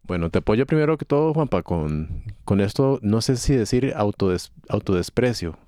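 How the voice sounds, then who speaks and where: A man's voice: fairly low pitch, expressive, moderate pace, dark tone, no room sound, studio quality. A man, speaking as a panelist in a reflective way. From Costa Rica. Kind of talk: panel discussion.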